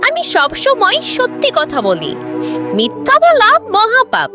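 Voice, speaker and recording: A woman's voice: very high-pitched, huge pitch swings, unhurried, over music, exaggerated voice. A woman voicing a brave, kind and earnest little girl in a children's cartoon story. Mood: pleading, sincere.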